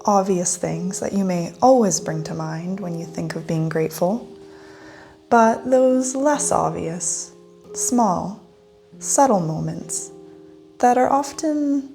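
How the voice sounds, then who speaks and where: A woman leading a guided meditation in a gentle, soothing manner with long pauses. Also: mid-range voice, creaky. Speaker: meditation guide, yoga teacher. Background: soft instrumental music.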